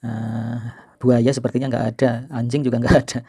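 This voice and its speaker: A middle-aged man from Indonesia hosting a video, reminiscing to viewers in an informal, unhurried, explanatory way. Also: mid-range voice, little pitch movement, warm tone, breathy edge, no echo, clean studio sound. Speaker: video host and storyteller.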